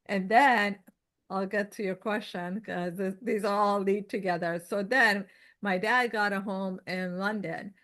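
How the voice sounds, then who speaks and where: A woman in her fifties with a light Persian accent talks as a guest in a relaxed interview. She meanders through her story with lots of fillers and self-corrections, in a mid-range voice. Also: mid-range voice, measured pace. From Iran. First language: Farsi. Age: 50s. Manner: rambling storyteller, many fillers and restarts. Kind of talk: casual interview chat.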